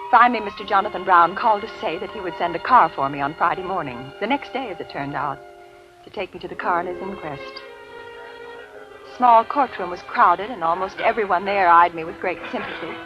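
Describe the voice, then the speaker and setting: An actress playing a genteel, calculating widow who narrates an old radio thriller with precise, theatrical diction. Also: female voice, on the low side, expressive, brisk, natural voice. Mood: serene, confiding. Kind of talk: 1940s-50s radio drama acting. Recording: dull vintage radio recording, music behind.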